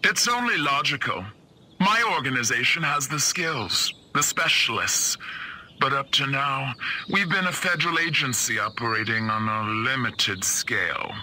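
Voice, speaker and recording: A man playing a cold, commanding secret government agency chief in a video game, measured, authoritative and menacing. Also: mid-range voice, expressive, sharp tone, a little hiss or hum, rough recording. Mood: smooth, assertive. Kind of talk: 1950s-set video game cutscene acting.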